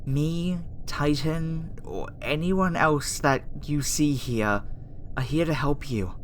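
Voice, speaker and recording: A woman voicing a gentle, flustered young female alien scientist in an ASMR roleplay, speaking soothingly and hesitantly with stammers. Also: deep voice, slow. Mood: gentle, reassuring. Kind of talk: ASMR roleplay audio acting. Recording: faint background noise under the voice.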